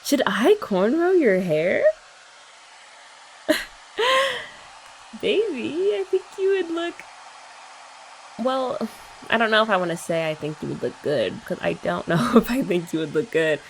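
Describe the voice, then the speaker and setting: A woman's voice: mid-range voice, sing-song, a little slow, vocal fry, pauses often, a little hiss or hum, low-quality recording. A young woman in an ASMR girlfriend roleplay, chatting in a playful, teasing way.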